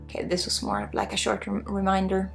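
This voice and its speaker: A woman from Sweden with a Swedish accent guides a spiritual healing ASMR session in a soft, breathy, informal and halting way, often dropping toward a whisper. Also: mid-range voice, very animated. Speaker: spiritual guide, energy healer. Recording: soft music under the voice. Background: soft music.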